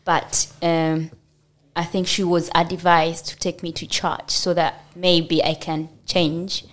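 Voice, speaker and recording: Slow, flat-voiced Ugandan woman. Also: on the low side. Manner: hesitant, pauses with many fillers. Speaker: podcast guest. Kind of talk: personal storytelling in an interview.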